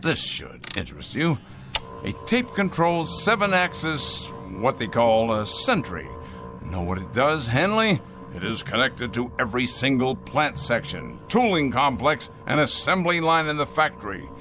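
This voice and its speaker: A cold, arrogant factory owner played by a man in a radio drama, lecturing with pompous, salesman-like polish. His pitch swings dramatically over a clear bed of music. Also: sing-song, moderate pace, natural voice. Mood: smug, condescending. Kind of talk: audio drama acting.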